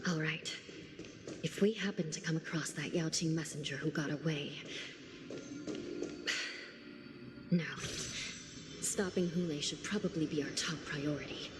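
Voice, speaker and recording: Low-toned, wry woman in echoing space. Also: unhurried, pauses often. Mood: resolute. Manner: dry, sardonic, composed. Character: wry, world-weary elder sister, a prison official. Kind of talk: video game voice acting. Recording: reverberant, with background music.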